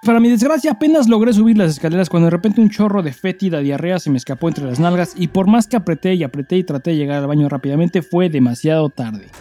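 A man's voice: high-pitched, animated, quick pace, few pauses, mellow. A man with a Mexican accent, a podcast co-host who speaks in a slangy, sweary style. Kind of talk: casual podcast chat; dramatized reading of a listener email over music.

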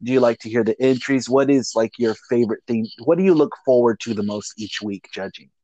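A man's voice: mid-range voice, brisk, dark tone, no room sound, dull audio. Chatty man in a dry room. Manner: upbeat, chatty, laughs often. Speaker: host of singing competition group. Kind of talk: casual interview.

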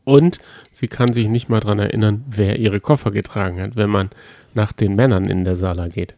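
A man's voice: fairly low pitch, steady tone, normal speed, warm tone, slight background noise. A man chatting casually as a podcast co-host in a colloquial, teasing way. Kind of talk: casual chat about reality TV.

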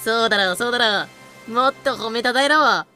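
Brash ship captain for audio drama , performed by an actor, bright, forceful, blustering and stubborn, light music underneath. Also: medium pitch, brisk, natural voice. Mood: smug. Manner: bossy, blustering. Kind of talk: Japanese anime-style audio drama acting.

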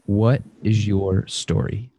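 A man hosts an interview show, reading a formal, prepared introduction. His voice sits low and mellow. The sound is dry and close, with no room around it. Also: fairly even intonation, takes it slowly, breathy edge, no room sound. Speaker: podcast host. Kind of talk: scripted guest introduction then interview questions.

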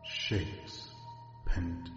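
A man giving a grave, deliberate dramatic reading of classic horror fiction. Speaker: story narrator. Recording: dull lo-fi track, faint music.

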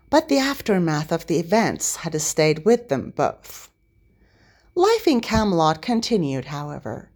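A woman reading a story aloud as narrator, in an expressive scripted style. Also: lowish voice. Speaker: podfic narrator.

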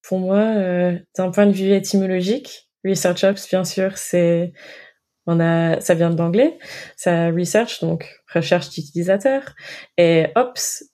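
A woman explains her job in an interview, hesitating often on fillers. Her voice sits low for a woman. It sounds warm and mellow. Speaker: research operations manager. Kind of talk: interview answer.

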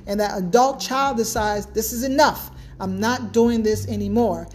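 High-voiced woman hosting a talk podcast. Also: darkish tone. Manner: repetitive, emphatic, starts points with "now" and tags "right?". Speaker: podcast host.

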